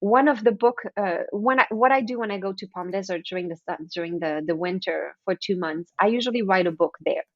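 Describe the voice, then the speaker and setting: A woman's voice: medium pitch, brisk, hardly any pauses, no echo, highs cut off. A woman whose first language is French, chatting as a guest author in a casual interview, often restarting her sentences and acting out her own thoughts. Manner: chatty, with frequent restarts and acted-out inner thoughts.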